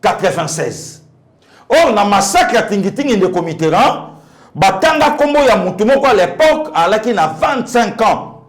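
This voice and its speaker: Animated, high-voiced Congolese man, emphatic. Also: normal speed. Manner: emphatic, repeats phrases, asks rhetorical questions. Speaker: pastor, guest commentator. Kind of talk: impassioned political commentary.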